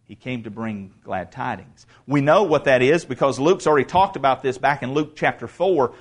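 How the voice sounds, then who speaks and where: A man preaching a sermon in a church, talking casually with asides and restarts, then reading scripture aloud and building to loud proclamation. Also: medium pitch, animated. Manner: reads scripture aloud slowly. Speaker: pastor. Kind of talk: expository sermon.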